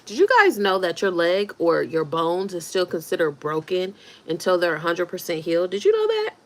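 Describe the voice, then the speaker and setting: A woman's voice: lowish voice, very animated, moderate pace. A woman in her thirties chatting casually on a livestream, reacting to viewer comments as she talks. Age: 30s. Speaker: livestream host.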